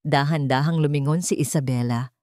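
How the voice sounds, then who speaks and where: Low-pitched woman narrating a drama. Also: fairly quick, darkish tone, no echo, studio quality. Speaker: story narrator.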